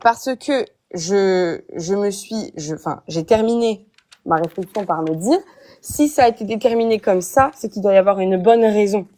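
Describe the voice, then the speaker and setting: A woman's voice: mid-range voice, normal speed, mellow. Hesitant young woman from France. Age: young adult. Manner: hesitant, many restarts. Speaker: guest caller. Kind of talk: live stream debate. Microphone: phone app call.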